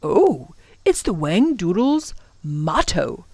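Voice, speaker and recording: A woman's voice: lowish voice, sing-song, slow pace, darkish tone, no room sound, full character voice. Lady doing a kindly old professor in a kids book, easily flustered, explains everything, voice goes up and down. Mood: explaining. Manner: oh-and-ah exclamations, explanatory, donnish.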